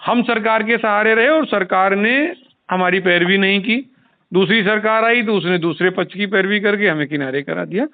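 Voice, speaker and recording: A man's voice: high voice, moderate pace. A man speaking as a union leader on a phone call, explaining insistently and repeating himself. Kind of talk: informal phone discussion. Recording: tinny recorded phone call.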